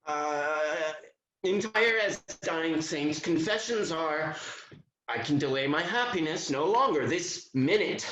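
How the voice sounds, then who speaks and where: A performer acts out an ardent young gentleman soldier of Restoration comedy, swaggering and grandiose. The delivery is slow and swoops in pitch, heard in an echoey room. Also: lively intonation, a little hiss or hum. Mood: fervent. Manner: declamatory, grandiose. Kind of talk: theatrical Restoration play acting.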